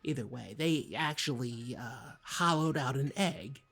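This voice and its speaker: A man acts as an eager small-town radio co-host in a comedy show. His voice is creaky and a bit husky, and he bumbles along with hesitant ums and restarts. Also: medium pitch, a bit bright, heavily acted. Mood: hesitant, earnest. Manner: halting, hesitant, many ums and false starts. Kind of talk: improvised mock public access radio chat.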